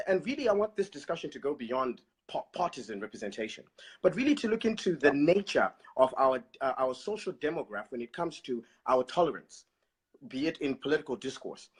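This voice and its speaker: A Zimbabwean man in casual political discussion, measured and thoughtful, pausing with fillers. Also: a little high, breathy edge.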